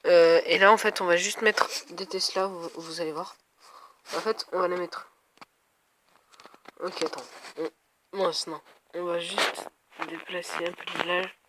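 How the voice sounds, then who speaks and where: A man's voice: high-pitched, little pitch movement, measured pace, long pauses, background noise. Man with a head cold, thinking aloud. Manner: thinking aloud, hesitant, talks to viewers. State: stuffed up with a cold. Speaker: gaming video creator. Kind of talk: casual gameplay commentary.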